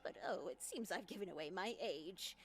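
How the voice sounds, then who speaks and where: An actress playing an older convent nun in a visual novel game, genial but probing, with a measured, playfully coy way of talking. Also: female voice, on the low side, lively intonation, faint music behind, close to an everyday voice. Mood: teasing, musing.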